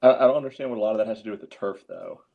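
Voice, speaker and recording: A man's voice: fairly low pitch, very fast. A man co-hosting a casual sports debate in a blunt, skeptical, argumentative way, with slightly muffled audio. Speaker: podcast co-host.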